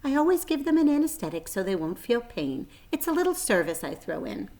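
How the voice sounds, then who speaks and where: A woman voicing a composed, brisk, motherly female spider in a children's storybook, firm and measured. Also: fairly high pitch, big pitch swings, dark tone, voice pushed up. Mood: pleasant.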